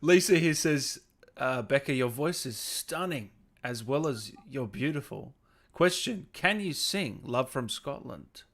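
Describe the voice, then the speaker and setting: A man's voice: medium pitch, expressive, a little slow, fairly bright tone. A man hosting a casual video-call interview and reading out viewer questions in a laid-back, informal way. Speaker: interview host, gaming YouTuber.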